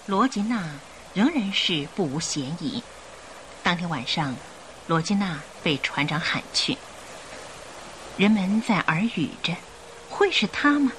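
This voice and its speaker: A woman narrating a Chinese radio drama in a scripted, expressive storytelling style. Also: on the low side, unhurried, long pauses, a little hiss or hum, poor audio. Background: music.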